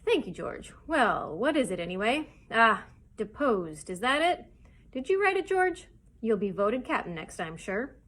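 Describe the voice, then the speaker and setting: A woman voicing a scornful, mutinous male pirate in a storybook, sneering in rough sailor talk. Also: on the high side, sing-song, measured pace, a bit bright, heavily acted.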